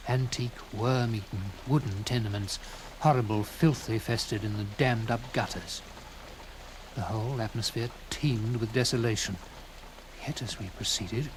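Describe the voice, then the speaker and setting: Over clearly audible background noise and ambience, a man narrates a literary tale. His voice sits at a medium pitch, and he tells it dramatically in the first person, with theatrical, deliberate phrasing that swells for emphasis. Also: low-quality recording. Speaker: narrator reading a classic short story.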